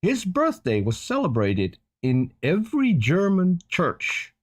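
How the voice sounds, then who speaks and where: A man's voice: high voice, wildly swooping pitch, takes it slowly. A man on a casual panel chat who makes his points with rhetorical questions. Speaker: panel guest.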